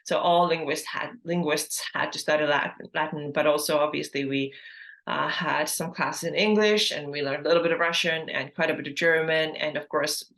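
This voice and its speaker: A woman's voice: sits low, a bit bright. Chatty Estonian-born woman podcaster. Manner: chatty, lots of fillers and self-corrections. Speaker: podcast host. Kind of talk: casual solo podcast monologue.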